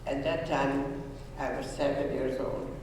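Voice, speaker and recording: A woman, giving an earnest, halting personal testimony to students, often restarting her sentences. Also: very deep voice, steady tone, background noise. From Hungary. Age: 90s. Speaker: Holocaust survivor speaking to students. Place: school room or auditorium. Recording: echoey hall, voice from far off.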